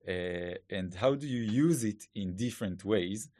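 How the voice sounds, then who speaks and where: A man's voice: on the low side, unhurried, a little mellow, no echo, studio quality. A man with an Israeli accent, a Hebrew native speaker, talking as a podcast guest in a thoughtful, casual way full of fillers and restarts. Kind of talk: casual interview talk. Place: studio.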